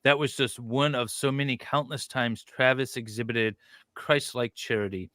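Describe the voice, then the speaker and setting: A man with a bright, forceful voice and a mid-range pitch reads aloud as a co-host. The sound is dry and close, and his delivery moves between recitation and casual, sardonic asides. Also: fairly even intonation, normal speed, no echo. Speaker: podcast co-host reading a document aloud. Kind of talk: dramatic reading with casual asides.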